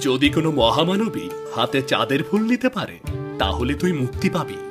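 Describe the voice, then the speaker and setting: A man's voice: mid-range voice, sing-song, brisk, over music, close to an everyday voice. A man playing a respectful, earnest young warrior in a cartoon fairy tale. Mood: relieved, earnest. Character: respectful young warrior, army chief's son freed from a curse.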